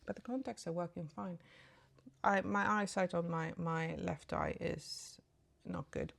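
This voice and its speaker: Gravelly low-voiced Swedish woman vlogger. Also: unhurried. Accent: Swedish-tinged British English. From Sweden. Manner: chatty, apologetic asides, soft murmurs while applying makeup. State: just woke up. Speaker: beauty vlogger. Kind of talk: casual makeup tutorial chat.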